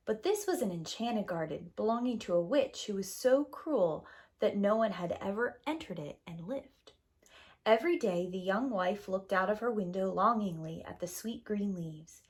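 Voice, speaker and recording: A woman storyteller reading a fairy tale to young children in a dramatic, deliberate way. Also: medium pitch. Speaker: preschool teacher, storyteller.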